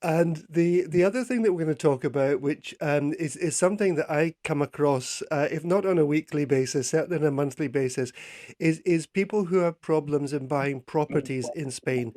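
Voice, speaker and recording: A man's voice: on the high side, moderate pace, darkish tone. A middle-aged man hosting a radio interview, often repeating words and restarting his sentences. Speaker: radio host.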